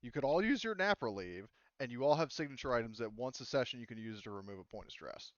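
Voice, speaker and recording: A man's voice: mid-range voice, lively intonation, quick pace, no room sound, muffled recording. A man speaking casually as game master of a tabletop game, explaining options in a conciliatory way.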